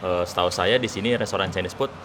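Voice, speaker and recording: Low-voiced polite man, noisy surroundings. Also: fast talker. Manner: deferential, agreeable, clipped replies. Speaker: restaurant supervisor being interviewed. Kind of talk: brief, polite interview answers. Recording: noisy location with vehicle ambience.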